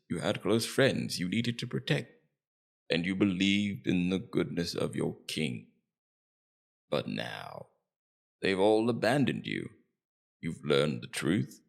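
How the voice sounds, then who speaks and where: A man playing a suave, menacing demon king in an ASMR roleplay, coaxing and condescending in a slow, deliberate, domineering way. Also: deep voice, vocal fry, full character voice. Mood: condescending, probing. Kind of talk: ASMR roleplay character acting.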